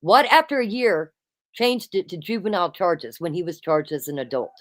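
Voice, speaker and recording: A woman hosting a casual chat, loud-voiced and emphatic, who keeps saying "absolutely" and "listen.". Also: on the low side, expressive, moderate pace. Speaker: host.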